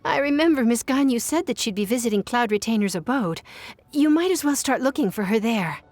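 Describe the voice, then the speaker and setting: A woman's voice: fairly high pitch, lively intonation, a little fast, a little mellow, close to an everyday voice. A woman voicing a proper, overworked private secretary in a video game, speaking in a formal, explanatory way. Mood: weary relief.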